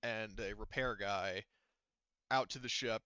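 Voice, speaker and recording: A man working as game master, narrating a tabletop roleplaying session casually with a halting delivery. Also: lowish voice, fairly even intonation, pushed and bright, clean tone, no echo, lo-fi sound.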